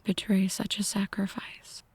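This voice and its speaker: A woman playing a possessive, brooding mafia boss in an ASMR roleplay, speaking in a breathy whisper, deliberately and intimately to one listener. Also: mid-range voice, completely flat delivery, darkish tone, dry recording. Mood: quietly menacing.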